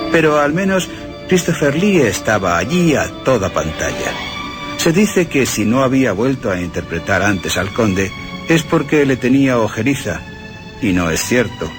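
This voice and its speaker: A man reading scripted commentary about films for radio. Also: on the low side.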